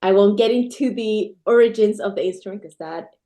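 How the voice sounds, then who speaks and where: A woman's voice: mid-range voice, fairly quick, dark tone. A woman from Peru, a guitarist and scholar in her thirties, answering interview questions with enthusiastic storytelling. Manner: enthusiastic, storytelling with occasional restarts.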